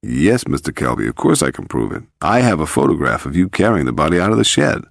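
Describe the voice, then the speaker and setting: Man voicing Arthur Grover, low menacing blackmailer. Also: big pitch swings, fast, creaky, no room sound, studio quality, heavily acted. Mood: cool menace.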